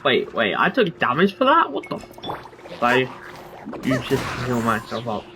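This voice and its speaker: A man's voice: medium pitch, huge pitch swings, measured pace, noisy background. A young adult man giving casual, rambling gameplay commentary as a streamer, thinking aloud as he goes. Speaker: game streamer.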